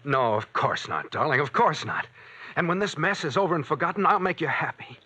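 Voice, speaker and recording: A husky, smooth-talking murderous painter. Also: male voice, fairly high pitch, expressive, brisk, pushed and bright. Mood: tender. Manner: ingratiating, tender. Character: charming murderous male artist, nervous and smooth. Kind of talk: 1940s radio acting. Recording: muffled old radio recording.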